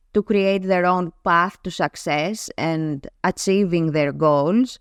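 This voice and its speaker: A woman with a Greek accent, a native Greek speaker, giving measured, explanatory answers as an interview guest. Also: medium pitch, dry recording, clean studio sound. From Greece. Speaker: guest, NLP trainer. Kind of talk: reading prepared answers in an interview.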